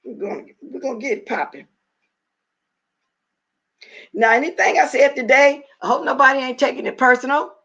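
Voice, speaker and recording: Woman, middle-aged, raspy, gravelly fry, blunt livestream host, dull lo-fi sound. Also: high-pitched, normal speed, pauses often, fairly bright tone. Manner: blunt, opinionated, talks straight to her chat. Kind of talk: casual livestream chat.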